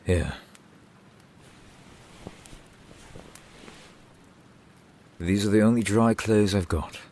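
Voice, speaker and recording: A man playing a gruff, grumbling but reluctantly kind fantasy town blacksmith in a slow, dry ASMR roleplay. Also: on the low side, lightly acted. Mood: grudgingly helpful. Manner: curt, grumbling, dry, wordy sarcasm. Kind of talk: ASMR roleplay, slow scripted character acting. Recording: steady rain sound behind the voice. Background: rain falling.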